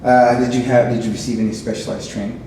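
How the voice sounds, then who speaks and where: A man's voice: medium pitch, level tone, fast talker. A man volunteering as interviewer for an oral history project, asking questions hesitantly with fillers and restarts. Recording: echoey room, far mic, background noise.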